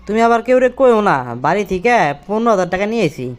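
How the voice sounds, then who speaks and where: An actor playing a cheeky, gullible village youth from a comic cartoon. He talks in broad rural Bangladeshi dialect, whining and arguing in a colloquial way. His temper is quick and his pitch sits in a middling range. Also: lively intonation, clean tone, natural voice. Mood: chatty, boastful. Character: cheeky, hot-tempered village young man, gullible schemer. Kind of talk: comic Bengali cartoon dialogue.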